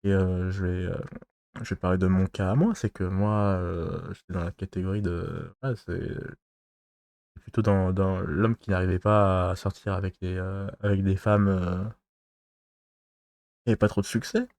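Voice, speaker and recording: Deep-voiced guy from france, rambly and halting, lots of pauses, dry close sound. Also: level tone, vocal fry, darkish tone, no echo. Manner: loses his thread mid-talk. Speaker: vlogger giving dating advice. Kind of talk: casual to-camera monologue.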